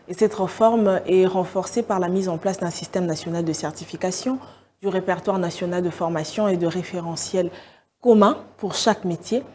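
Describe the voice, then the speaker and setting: A woman from the Democratic Republic of the Congo gives formal, explanatory interview answers with a few hesitant fillers. Her voice is warm and mellow, and a faint noise runs underneath. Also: on the low side, moderate pace. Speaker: interviewee, education sector official.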